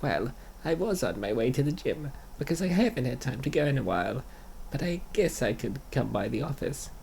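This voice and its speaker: A man voicing an eager, higher-pitched busy young wife. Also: big pitch swings, a little fast, vocal fry, darkish tone, slight background noise. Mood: busy, agreeable. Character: busy, obliging young wife in her late twenties. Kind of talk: comic dub character acting.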